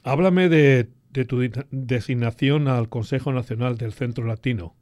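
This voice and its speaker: A man's voice: mid-range voice, moderate pace, darkish tone, dry recording. Guy hosting an interview, mid-range voice, chatty questions, really dry sound. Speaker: interviewer, radio host. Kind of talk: conversational interviewing.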